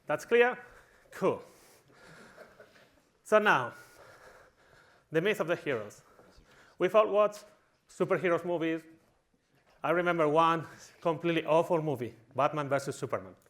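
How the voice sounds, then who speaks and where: A man's voice: on the high side, expressive, a little slow, long pauses, fairly bright tone. A man from Spain with a Spanish accent, giving a humorous conference talk in a tongue-in-cheek, mock-villainous manner. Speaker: conference speaker.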